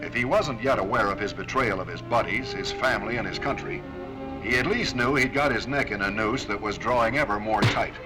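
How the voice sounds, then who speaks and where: A man's voice: lowish voice, fairly quick, over loud music. An American man delivering stern, formal, authoritative scripted narration as presenter of a Cold War-era military training film.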